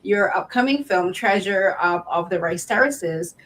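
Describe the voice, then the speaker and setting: A woman's voice: medium pitch, expressive, measured pace, fairly bright tone, slight background noise. A woman hosting a podcast interview, chatty and enthusiastic with lots of fillers. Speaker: podcast host. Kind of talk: casual interview.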